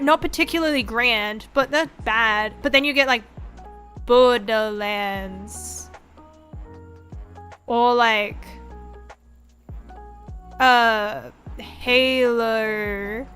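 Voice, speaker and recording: A young woman in her twenties with a high, bright voice chats casually on a livestream. She rambles loosely, swears freely and slips into animated quotes. Faint music plays behind her. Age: 20s. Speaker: streamer, VTuber host. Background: background music.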